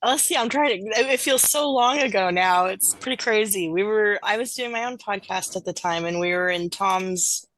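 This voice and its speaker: Female guest, medium pitch, dry recording, casual reminiscing. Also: moderate pace, hardly any pauses, fairly bright tone, no echo, rough recording. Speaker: voice actor.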